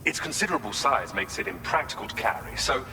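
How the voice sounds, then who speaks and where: An eager, courteous researcher for a video game cutscene. His mid-pitched voice moves in very animated swings as he explains things in formal, articulate language. The sound carries a long reverb over clearly audible background noise. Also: quick pace, heavily acted. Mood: measured, explanatory. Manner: formal, articulate, erudite phrasing. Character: courteous, eager male vehicle and weapons researcher. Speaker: researcher character.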